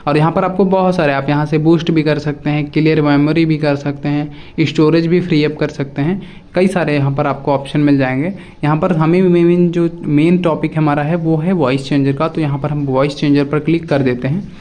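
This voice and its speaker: A man's voice: fairly high pitch, fairly even intonation, a little fast, darkish tone. A man presenting a casual step-by-step phone app tutorial, addressing viewers directly and often repeating his instructions.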